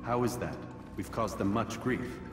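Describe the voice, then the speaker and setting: An actor playing a solemn, earnest young assassin who speaks in a measured, formal way in a video game cutscene. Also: male voice, fairly low pitch, roomy sound, big-room sound, music in the background, close to an everyday voice. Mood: earnest, resolute.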